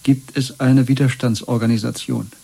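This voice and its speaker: An actor in a 1970s German radio play, playing a tormented prisoner who puts terse, insistent questions. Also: male voice, medium pitch, steady tone, normal speed, creaky, mellow, slightly rough. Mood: strained, insistent. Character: tormented male prisoner questioning his interrogator.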